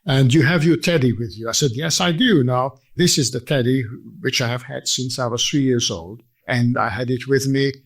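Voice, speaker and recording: A man in his eighties with a Hungarian accent tells his personal story as an interview guest, speaking in a measured, formal and articulate way. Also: medium pitch. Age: 80s. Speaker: interview guest, survivor giving testimony.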